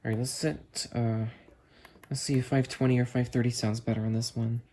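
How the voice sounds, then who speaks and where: A man's voice: mid-range voice, fairly quick, a little hiss or hum. Man, host, casual demo narration, thinking aloud, room at home. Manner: relaxed, thinking aloud while working the controls.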